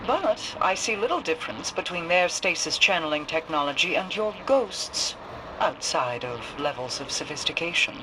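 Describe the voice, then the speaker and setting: Game mystic tech scholar, formal but really up and down pitch, noisy ambience. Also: female voice, expressive. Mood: measured, explanatory. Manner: formal, measured, explanatory. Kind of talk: video game dialogue. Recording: noisy outdoor-like ambience behind voice.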